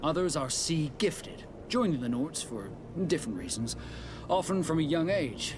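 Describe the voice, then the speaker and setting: An actor playing a proud, composed young sea captain in a fantasy game, speaking in a measured, formal way. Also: male voice, a little high, very animated, background noise. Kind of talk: scripted fantasy game dialogue. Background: music.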